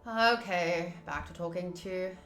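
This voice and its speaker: Female streamer for visual novel playthrough: bright voice, casual reading and commentary, roomy echo. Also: on the low side, slow. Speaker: game streamer. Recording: roomy echo, faint game music. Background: faint game music.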